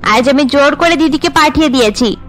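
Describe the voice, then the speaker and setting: Woman acting an earnest, high-voiced cartoon sister. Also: fairly quick, a bit bright, faint music behind. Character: earnest young sister, outspoken and protective.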